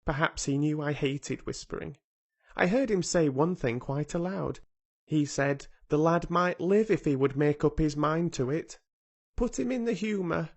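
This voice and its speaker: A man's voice: on the high side, a little slow, pitched above the performer's own voice. A man reading aloud who voices a petulant, sickly bedridden boy with a fretful, cross manner. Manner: fretful, cross, self-pitying.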